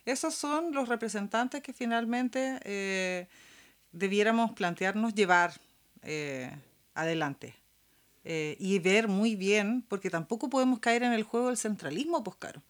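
A woman's voice: medium pitch, measured pace. A woman with a Chilean accent co-hosting a casual political discussion, speaking colloquially and repeating words for emphasis. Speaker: podcast co-host.

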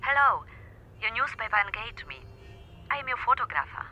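A woman's voice: a little high, very animated, moderate pace, sharp tone, exaggerated voice. A woman playing a businesslike foreign photographer in a radio drama, heard through a telephone. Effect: telephone filter. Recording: dull phone line, faint noise.

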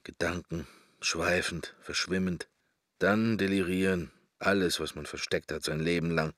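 An actor playing a wry, brooding middle-aged man murmuring a wandering inner monologue in a radio drama, gravelly and husky. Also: low-pitched, steady tone, a little slow, fairly bright tone, no room sound. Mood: morbid drifting. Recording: roomless, very dry studio sound.

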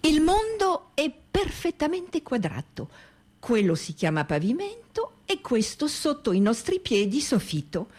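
A woman's voice: high voice, huge pitch swings, measured pace, a little mellow, exaggerated voice. A woman playing a dogmatic teacher of an upside-down world in a didactic, absurd radio theatre monologue. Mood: dogmatic, instructing.

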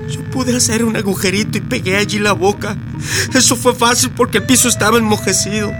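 A man with a Mexican accent playing a traumatized migrant survivor in a radio drama monologue, speaking in short, colloquial, haunted phrases. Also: high-pitched. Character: traumatized male Mexican migrant, lone survivor recounting horror. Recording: music under the voice.

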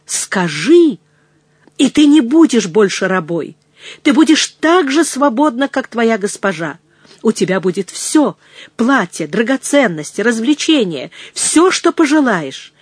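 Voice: medium pitch, animated, a little slow, a little mellow, dry recording. A narrator voicing a jealous, passionate male suitor, wheedling and threatening by turns in an audiobook. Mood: ardent, coaxing. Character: jealous, ardent suitor, menacing then pleading man. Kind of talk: dramatised audiobook reading.

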